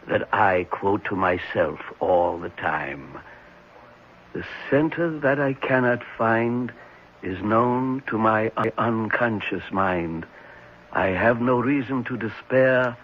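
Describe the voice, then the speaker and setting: A thoughtful clinic psychiatrist in a vintage radio play. His low voice rises and falls expressively as he explains things with reflective pauses. The recording is muffled. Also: a little hiss or hum. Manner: hesitant, reflective, measured explaining. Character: thoughtful, assured male psychiatrist at a private clinic. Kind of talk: 1970s radio drama acting.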